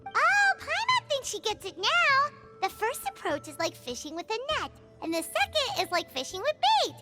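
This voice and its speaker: A woman voicing a small, excitable, childlike fairy companion in a video game, chirpy and curious. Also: moderate pace, heavily acted. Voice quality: squeaky, childlike. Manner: chirpy, speaks of self in third person. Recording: background music.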